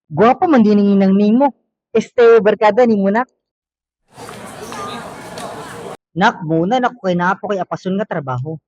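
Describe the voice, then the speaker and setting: Male actor as friendly, familiar father figure for radio play, notably high voice, Filipino accent, lo-fi sound. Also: a little fast, a little mellow, no echo, lightly acted. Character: friendly, charming father of a student, middle-aged man. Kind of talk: amateur radio drama acting. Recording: muffled lo-fi recording.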